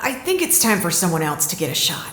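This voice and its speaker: An echoey room frames an actress as an irreverent female team leader. Her delivery is casual and teasing, with lively swings in pitch, in an easygoing audio drama style. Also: fast talker. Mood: rueful.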